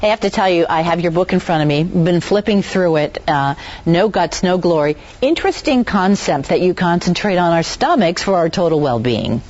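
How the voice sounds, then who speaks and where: A woman's voice: fairly low pitch, lively intonation, normal speed, few pauses, a little mellow, lo-fi sound. A woman speaking as a television host in a broadcast interview.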